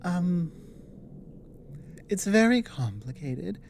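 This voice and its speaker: A woman playing an earnest, resolute female dragon ally in an ASMR roleplay, speaking in halting, intimate phrases with pauses. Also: lowish voice, very animated, creaky, a little mellow, a little hiss or hum, heavily acted. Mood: firm, regretful.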